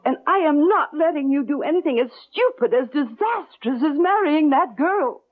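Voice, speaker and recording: A woman's voice: sits high, lively intonation, normal speed. An actress playing an earnest, insistent woman in a 1970s radio suspense drama. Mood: pleading, insistent. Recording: tinny narrow-band old radio broadcast.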